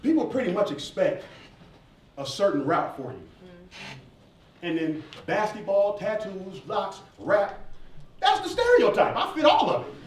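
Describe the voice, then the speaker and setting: A man's voice: high voice, a little slow, pauses often. A man answers audience questions as a performer, telling stories in a casual, joking, informal way. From southern United States. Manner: joking, informal, animated storyteller. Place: echoey indoor event space with audience. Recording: echoey room, distant mic, background noise.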